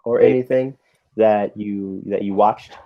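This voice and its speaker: A man's voice: lowish voice, fairly even intonation, a little slow, lo-fi sound. An American man working as an English tutor, chatting casually and patiently in an encouraging, informal way. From United States. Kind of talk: casual conversational lesson.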